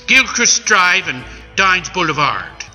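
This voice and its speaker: Man acting a high-voiced blustering pirate villain. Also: sing-song, slow, sharp tone, heavily acted. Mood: scheming, flustered. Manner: pompous, melodramatic threats, bumbling. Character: blustering villainous pirate swindler with muddled accent. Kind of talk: comic radio play acting. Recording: echoey event hall, music behind.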